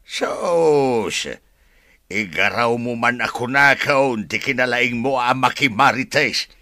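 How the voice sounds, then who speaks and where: An actor with a Filipino accent playing a flustered, evasive young son and sweetheart, blustering and pleading in a radio drama. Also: male voice, mid-range voice, slow, pushed and bright, no echo, clean studio sound. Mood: defensive. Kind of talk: Ilocano radio drama acting.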